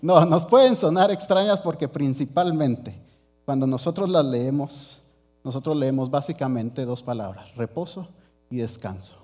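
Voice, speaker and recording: A man delivers an earnest sermon to his congregation in a mellow, warm voice, speaking to them directly as brothers. A faint mains hum sits under the recording. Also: mid-range voice, moderate pace. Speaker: preacher.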